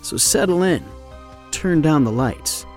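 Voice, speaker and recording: Male podcast host, lively intonation, conversational scripted promo over faint music. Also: fairly high pitch, slow.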